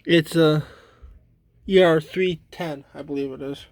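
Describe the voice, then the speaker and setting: A man giving a casual, unscripted demonstration as a hobbyist, halting and trailing off mid-thought. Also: fairly high pitch, fairly even intonation, no room sound. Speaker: hobbyist demonstrating a weather radio collection.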